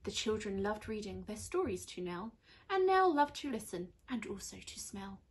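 A young woman reads a rhyming children's storybook aloud in an expressive, teacherly way. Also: mid-range voice, moderate pace. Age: young adult. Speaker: primary school teacher reading aloud. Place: small indoor room on a sofa.

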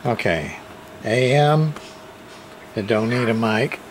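A man giving a casual hands-on repair demonstration, thinking aloud in a hesitant, halting way as he goes. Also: mid-range voice. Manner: hesitant, halting, muttering to himself. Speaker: hobbyist radio repair presenter. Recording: clear background room noise.

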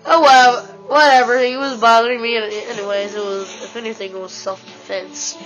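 A woman with a stuffy nose gives casual, rambling commentary while she plays a video game, excitedly talking to herself. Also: on the high side, fairly quick. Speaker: let's play gamer. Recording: dull lo-fi with game music.

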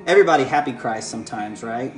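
Man, thirties, American accent, father reading bedtime stories and talking to viewers; gentle, encouraging delivery, roomy home recording. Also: medium pitch, quick pace. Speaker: father and children's book author reading aloud. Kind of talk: bedtime story reading and casual chat to viewers. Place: living room at home, indoors. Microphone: camera or phone propped just beyond arm's length. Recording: echoey living room, music behind.

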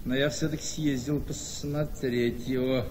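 An actor playing a shrewd, folksy gentleman in a radio play, chatty and sly, often repeating his phrases. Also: medium pitch, fairly even intonation, measured pace, close to an everyday voice. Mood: brisk, businesslike. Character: shrewd, folksy older male relative buying an estate. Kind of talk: theatrical radio play acting. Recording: noisy radio play recording, long reverb.

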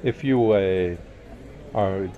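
Man, tour guide, low voice, relaxed, halting, faint outdoor noise. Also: phone-quality sound. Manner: relaxed, with many fillers and restarts. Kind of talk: casual walking tour narration. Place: outdoor market compound with wooden walkways.

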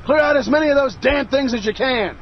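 A man playing a gruff American army sergeant, giving brisk, authoritative orders. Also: very high-pitched, highs cut off, slight background noise. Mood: commanding, urgent. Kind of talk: military orders in game dialogue.

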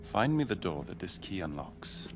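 An imperious commander in a video game. His low voice is composed and authoritative, measured in its delivery, with music underneath. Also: very animated, creaky, full character voice. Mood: smooth authority. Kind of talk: video game cutscene acting.

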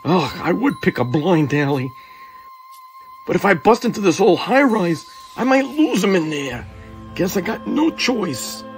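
A man playing a hunted young crook, frantic and talking tough in rough street slang. The voice sits close to the reader's own, with soft music underneath. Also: sits high, sing-song, measured pace, heavily acted. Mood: desperate. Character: desperate young male fugitive on the run.

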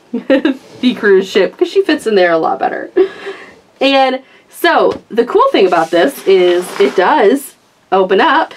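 A woman's voice: mid-range voice, a little hiss or hum. A woman hosting a casual toy review, talking through it in an enthusiastic, gushing manner. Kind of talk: casual unboxing and demonstration chat.

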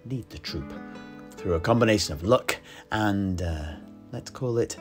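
A man telling a fantasy tale in character, theatrical and measured, with dramatic pauses and stresses. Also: on the low side. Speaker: storyteller, in-character tavern keeper. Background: plucked-string music.

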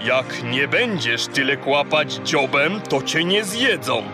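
An actor playing a gruff, boastful sea god who guides and teases like a showman in a children's audio drama. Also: mid-range voice, lively intonation, slow pace, over music. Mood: bossy, teasing.

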